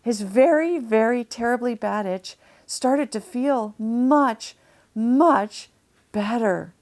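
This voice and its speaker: Female children's storyteller: fairly high voice, very unhurried, expressive, deliberate emphasis. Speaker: author reading a children's story.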